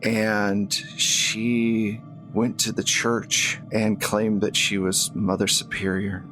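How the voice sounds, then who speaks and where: A man's voice: on the low side, level tone, poor audio. Haunted, regretful nun, voiced by a male game master, faltering and unsure, for a tabletop story with background music. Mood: regretful, confessing. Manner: halting, faltering with repeats. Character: confused, regretful nun haunted by shadowy memories.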